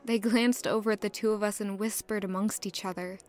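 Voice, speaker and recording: A woman's voice: medium pitch, steady tone, fairly quick, a little mellow, faint music behind, natural voice. A woman playing a young, anxious, curious castle servant who narrates her own story in an audio drama. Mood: reflective. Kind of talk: first-person audio drama narration and dialogue.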